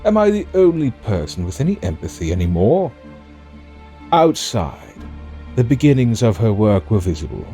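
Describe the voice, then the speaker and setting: A man playing a sardonic, amused villain who narrates an audio drama in a theatrical, mocking, drawn-out way. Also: fairly low pitch, big pitch swings, dark tone, soft background music. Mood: wry, theatrical.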